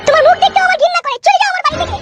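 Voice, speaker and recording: A woman voicing a wronged, indignant young sweetheart in a comedy cartoon, shrill and accusing. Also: racing, a bit bright, soft background music. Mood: furious, scornful. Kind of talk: Bengali comedy cartoon dub.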